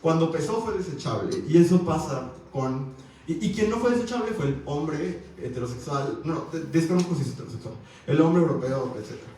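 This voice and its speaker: A man with a Mexican accent talks on a university panel, wry and animated, with plenty of fillers. His voice sits a little high. He sounds set back from the mic in a very echoey hall with faint background noise. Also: brisk, recorded from a distance. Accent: Mexican Spanish. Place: university auditorium.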